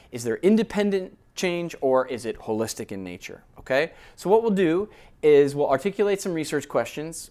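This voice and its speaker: A man lecturing in a relaxed, conversational way, explaining ideas step by step and often checking in with his listeners. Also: on the high side. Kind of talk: academic lecture.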